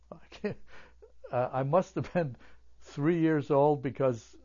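An older man whose first language is German, recalling his childhood in an oral history interview, halting with many fillers and restarts. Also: a little high, warm tone, highs cut off.

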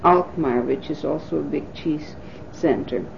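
A woman in her fifties from the Netherlands, a native Dutch speaker, recalling her life in an oral history interview with thoughtful pauses and fillers. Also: low-pitched, gravelly, background noise. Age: 50s. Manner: thoughtful, pausing with frequent fillers. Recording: dull lo-fi 1980s tape recording.

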